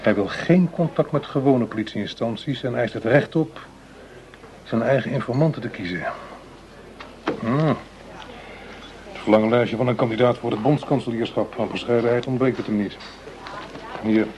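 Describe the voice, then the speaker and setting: A cautious, calculating government minister played by a male radio actor speaks in a mid-pitched voice. His phrasing is formal and deliberate, as in a confidential political talk. The radio play recording has clear background noise. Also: lightly acted. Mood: wry disbelief.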